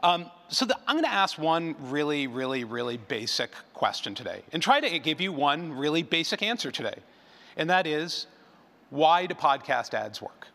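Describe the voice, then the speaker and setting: Bright-voiced man presenting in a reverberant hall. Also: fairly high pitch, big pitch swings, normal speed, vocal fry. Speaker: conference speaker, advertising researcher. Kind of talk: conference presentation. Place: large room, live event.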